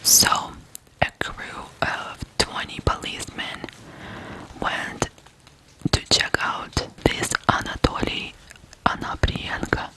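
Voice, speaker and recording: An ASMR host from Ukraine whispering a true crime story in a hushed, deliberate way with pauses. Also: rough recording.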